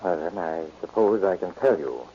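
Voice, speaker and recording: Husky, low-voiced bank manager. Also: male voice, expressive, moderate pace, exaggerated voice. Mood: courteous, guarded. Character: courteous, cautious male bank manager. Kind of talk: 1940s radio drama acting. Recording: dull old radio recording.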